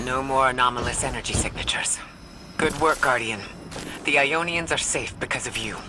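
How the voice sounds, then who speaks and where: A performer acting as an earnest sci-fi game advisor, formal and measured. The voice is mid-pitched, with clear ambient noise and rumble around it. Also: lightly acted. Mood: relieved. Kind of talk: video game dialogue acting.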